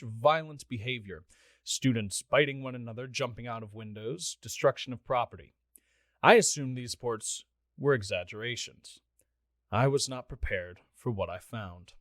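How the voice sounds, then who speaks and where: A man's voice: mid-range voice, fairly bright tone, no echo, lightly acted. A man playing an exasperated middle-aged police officer reading out an official report in a radio play. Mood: dry, official. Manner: official, dry, flustered asides. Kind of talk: scripted radio play monologue, formal report.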